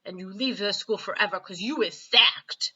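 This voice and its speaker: A woman voicing a furious, stern male head teacher in a storybook, shouting. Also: medium pitch, moderate pace, breathy edge, lo-fi sound.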